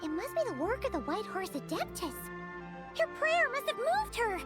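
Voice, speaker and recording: A woman voicing a small, excitable childlike fairy companion in a video game, squeaky and given to exclaiming. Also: moderate pace, music in the background. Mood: excited. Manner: exclaims, speaks of self in third person. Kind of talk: video game character acting.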